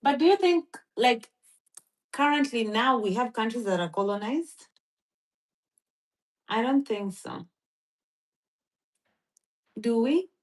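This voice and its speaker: A woman's voice: on the high side, animated, takes it slowly, long pauses, low-quality recording. A woman chatting casually on a live stream, rambling with lots of fillers as she thinks aloud and reads from a search. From Philippines. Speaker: livestream host.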